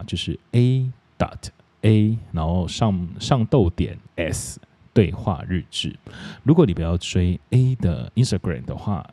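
A man's voice: sits low, mellow. A man with a Taiwanese Mandarin accent hosting a podcast in a casual, chatty way. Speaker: podcast host. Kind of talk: casual chat.

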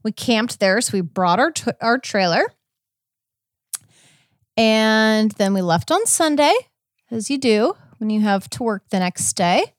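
A woman's voice: a little high, expressive, unhurried, dry recording, clean studio sound. An American woman hosting a podcast from home in a casual, conversational style. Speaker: podcast host. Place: indoors at home.

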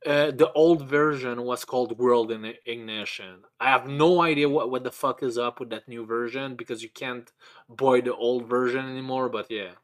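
A man co-hosting a casual podcast, talking at a middling pitch. He stammers and restarts words often and swears freely as he chats about games. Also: steady tone, normal speed. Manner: casually profane. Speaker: podcast co-host. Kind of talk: casual video game chat.

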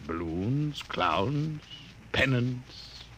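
An actor playing a mysterious, secretive agent for an unknown client, speaking with slow, precise, formal diction in a 1950s radio drama. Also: male voice, mid-range voice, sing-song, a bit bright, heavily acted. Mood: measured. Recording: old radio broadcast with faint hiss.

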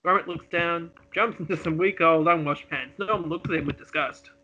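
A man's voice: fairly high pitch, normal speed, pushed and bright. A man reading a comedy script casually as co-host, giggly and breaking off to banter, on a lo-fi recording. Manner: giggly, breaks off to joke and improvise.

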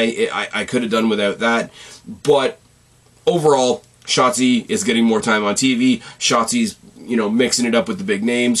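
Casting note: male host, wrestling commentary, slightly raspy, opinionated, swears, rambles. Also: medium pitch, a bit bright. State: losing his voice, a bit raspy. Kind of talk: casual commentary.

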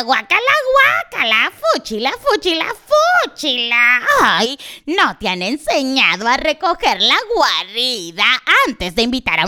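A woman's voice: on the high side, sharp tone, heavily acted. A woman voicing a fussy, bossy female turkey who scolds in a prim way.

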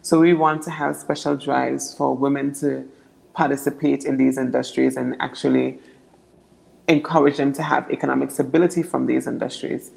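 A young woman from Anguilla with a naturally deep voice, answering interview questions earnestly and often repeating words as she builds her points. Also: steady tone, normal speed, gravelly, a little mellow, rough recording. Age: young adult. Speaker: political candidate.

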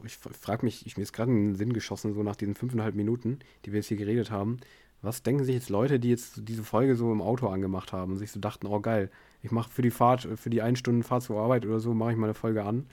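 A man's voice: steady tone, fast, a little mellow. A man hosting a podcast, unwell with a headache, rambling casually with many fillers. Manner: rambling, many fillers, tired and low-key. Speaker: podcast host. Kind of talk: casual chat. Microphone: remote call via bot.

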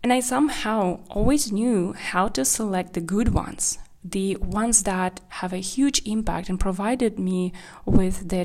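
Woman podcast host, from Kazakhstan, candid and reflective, medium pitch. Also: darkish tone. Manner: candid, reflective, with small slips of grammar. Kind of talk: solo podcast monologue.

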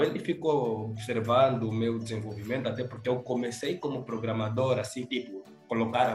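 A young man with an Angolan Portuguese accent, an intern talking casually and hesitantly about his job in an interview. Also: mid-range voice. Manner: relaxed, hesitant with fillers. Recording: echoey room, faint music.

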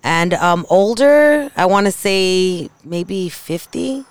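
A woman's voice: lowish voice, expressive, slow pace, clear, resonant tone, no echo. A woman in her forties, a podcast guest, talking frankly and matter-of-factly in casual chat. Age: 40s.

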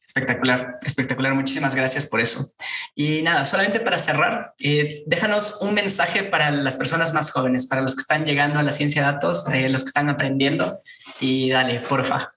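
Man, podcast host, bright tense voice, effusive, roomy echo. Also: fairly high pitch, fairly quick. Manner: warm and effusive, many fillers. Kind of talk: casual interview hosting. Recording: echoey room.

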